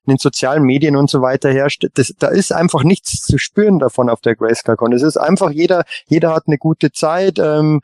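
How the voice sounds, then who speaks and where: A man chatting casually on a fan podcast, haltingly, often repeating words as he thinks. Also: on the high side, darkish tone, dry recording, studio quality. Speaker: podcast panellist.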